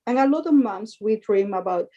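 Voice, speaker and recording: A woman with a soft, mellow tone talks earnestly as a parent on a panel. She often restarts and repeats words as she looks for the right phrasing. Her pitch sits in an ordinary middle range. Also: expressive, normal speed, muffled recording. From Costa Rica. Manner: earnest, repeats words while searching for them. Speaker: parent of young actors. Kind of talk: panel discussion.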